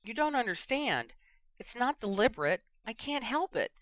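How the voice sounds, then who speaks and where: A woman's voice: fairly high pitch, big pitch swings, normal speed, fairly bright tone, no room sound, pitched above the performer's own voice. A woman voicing an upset, pleading young woman. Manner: pleading and emotional.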